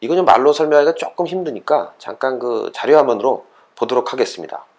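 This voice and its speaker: A man's voice: medium pitch, animated, quick pace, a little mellow. A man giving an explanatory, lecture-style talk as a host, conversational and fond of posing rhetorical questions. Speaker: host of an educational talk series for parents and children.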